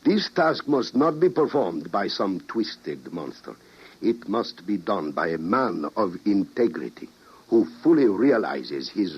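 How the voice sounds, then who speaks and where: An actor playing a grave, persuasive president with a solemn, deliberate delivery in an old radio drama. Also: male voice, on the low side, breathy edge, heavily acted. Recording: muffled vintage radio drama recording.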